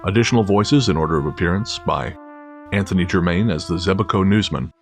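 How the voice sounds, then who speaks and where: A man's voice: sits low, fairly quick. A man reading scripted story narration in the first person, with a wry, matter-of-fact manner. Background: clearly audible music.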